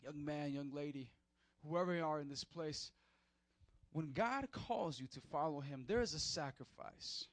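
A man preaching a sermon as pastor in a church, animated, acting out short skits in other voices. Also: a little high, unhurried, dry recording.

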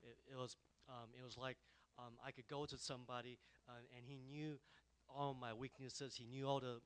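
A man gives a halting testimony in church, his speech full of ums. His voice is mid-pitched, smooth and resonant. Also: little pitch movement. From Taiwan, raised in the USA. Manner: hesitant, many ums and uhs. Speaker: guest giving a testimony.

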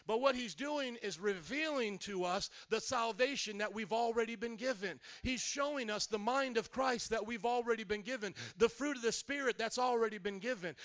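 A man's voice: very high voice, fairly bright tone, no room sound. A male pastor preaching with energy, drawing the congregation into call and response and stretching key words for emphasis. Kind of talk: sermon.

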